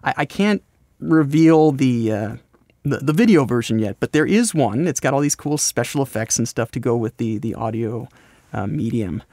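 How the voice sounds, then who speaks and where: An American man being interviewed, explaining his creative work in a casual, rambling, halting conversational way. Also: mid-range voice, animated, darkish tone, no echo. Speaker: podcast host, voice actor and scriptwriter being interviewed.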